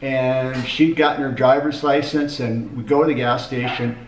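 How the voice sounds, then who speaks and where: A man's voice: medium pitch, steady tone, normal speed, slight background noise. A middle-aged man chatting casually as co-host, wry and hesitant, often restarting his sentences. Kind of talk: casual chat. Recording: dull echoey room, mic at a distance.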